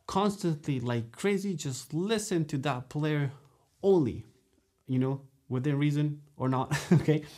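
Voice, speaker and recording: A man in his thirties gives a saxophone lesson straight to camera, speaking at a middling pitch. He is animated and gestures as he talks. He keeps checking in with "okay?" and "you know" between points, in a studio room. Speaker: saxophone teacher. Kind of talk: direct-to-camera music lesson. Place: dark studio room, indoors.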